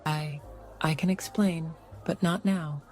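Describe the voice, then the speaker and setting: An actress playing a secretive, evasive 1940s femme fatale in a noir radio drama, measured and hesitant. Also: female voice, deep voice, steady tone, darkish tone, soft background music. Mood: anxious, defensive.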